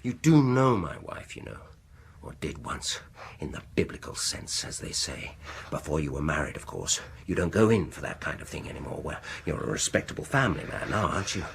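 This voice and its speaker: Menacing male dentist, courteous and deliberate, widely swinging intonation, radio horror, slight background noise. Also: a bass voice, very animated, creaky, heavily acted. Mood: cold, quiet menace. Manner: soft-spoken politeness turning sinister, deliberate and taunting. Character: menacing retiring male dentist bent on slow revenge. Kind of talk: radio horror drama acting.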